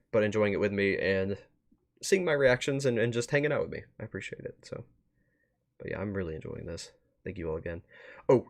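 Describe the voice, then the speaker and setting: A man hosting a livestream in a casual chatty style, rambling a bit as he thinks out loud. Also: lowish voice. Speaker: streamer and host. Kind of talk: casual livestream wrap-up chat.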